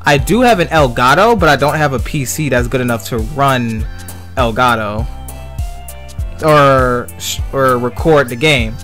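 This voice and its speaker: A man's voice: mid-range voice, measured pace, fairly bright tone. Rambling man livestreaming, music behind him. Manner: rambling, repeats phrases. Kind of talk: casual gaming stream chat.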